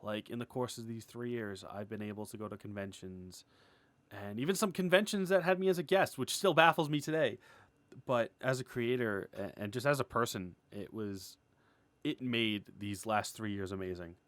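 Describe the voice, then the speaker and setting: A man giving a casual, unscripted creator update, rambling and excitable, starting and stopping his phrases as he goes. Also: fairly low pitch, normal speed, dry recording. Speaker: animator and web series creator giving an update.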